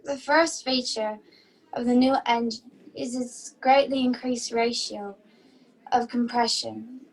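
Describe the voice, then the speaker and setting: Woman playing a high-voiced, formal stage memory performer. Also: steady tone, takes it slowly, low-quality recording. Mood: weary, earnest. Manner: formal recitation of memorised technical facts. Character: dying music-hall memory performer, dutiful and proud. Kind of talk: stage-style radio play acting.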